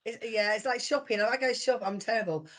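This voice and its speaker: A woman's voice: on the high side, fairly quick. A woman in her fifties talking on a roundtable panel, often stumbling over and restarting her words. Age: 50s. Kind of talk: casual panel discussion.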